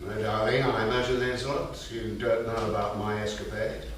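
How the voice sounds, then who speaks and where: An actor playing an elderly, fond and wistful grandfather, telling old gambling stories with hesitant pauses in a staged reading. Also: fairly low pitch, flat delivery, lightly acted. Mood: teasing. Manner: unhurried storytelling with hesitant pauses and endearments. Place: theatre stage. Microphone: distant room mic. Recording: roomy echoing stage recording, distant mic, hiss.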